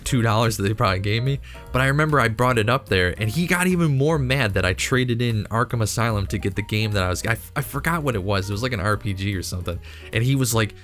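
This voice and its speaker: A man's voice: medium pitch, fairly bright tone. A man hosting a video channel, chatting casually in a rambling, jokingly incredulous way with frequent self-interruptions. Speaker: YouTube cartoon channel host.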